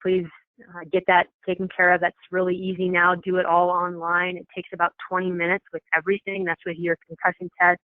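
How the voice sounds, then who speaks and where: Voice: medium pitch, completely flat delivery, fairly quick. A program coordinator giving an informal, matter-of-fact, explanatory briefing to coaches over a telephone conference call. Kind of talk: informal meeting presentation. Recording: tinny, echoey telephone conference line.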